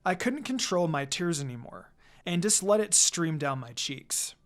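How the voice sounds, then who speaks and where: A reader giving an expressive first-person narration of a fan fiction story. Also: mid-range voice, a little slow.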